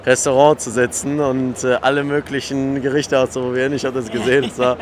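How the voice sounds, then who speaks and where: A man's voice: medium pitch, level tone, a little fast. A man in his twenties asking casual interview questions in an enthusiastic, slangy way into a handheld recorder. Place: large indoor fitness expo hall. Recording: crowd noise in trade fair hall.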